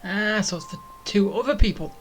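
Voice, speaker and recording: A woman's voice: medium pitch, normal speed. A woman streamer giving casual, off-the-cuff commentary while playing a video game. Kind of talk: casual live commentary over gameplay. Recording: game music behind.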